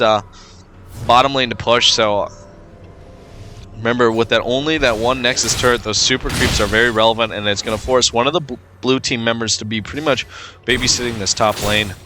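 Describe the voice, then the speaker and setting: A man with a cold, sounding stuffed up, giving relaxed play-by-play commentary over a video game match with frequent fillers. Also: mid-range voice, noisy background. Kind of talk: casual gameplay commentary.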